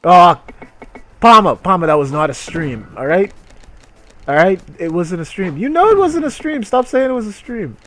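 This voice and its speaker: A man's voice: high voice, big pitch swings, moderate pace, a bit bright. A man reading a story aloud as a streamer and breaking off for irreverent, sweary commentary. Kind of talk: dramatic reading with mocking live commentary.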